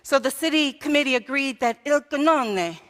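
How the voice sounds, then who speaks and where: A woman's voice: sits high, expressive, normal speed, fairly bright tone. A woman minister preaching a sermon in a church, telling a story with rhetorical questions and building to emphatic peaks. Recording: long hall reverb.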